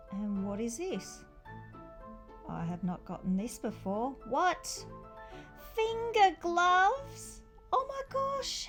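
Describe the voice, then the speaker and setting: A woman giving a casual, chatty review of a toy brick set, enthusiastic and informal, with exclamations. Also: high voice.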